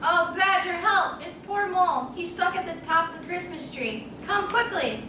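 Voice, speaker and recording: A woman voicing a friendly, excitable squirrel in a storybook readers' theater. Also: very high voice, moderate pace. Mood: frantic. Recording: echoey room, distant mic, noisy.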